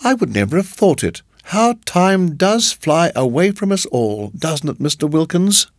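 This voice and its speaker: A man's voice: a little high, big pitch swings, a little slow, no echo, clean studio sound, pitched above the performer's own voice. A man voicing a genteel, gushing older landlady in an audiobook, dainty, fussing and sweetly coaxing. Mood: airy, apologetic. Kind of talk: audiobook character voice.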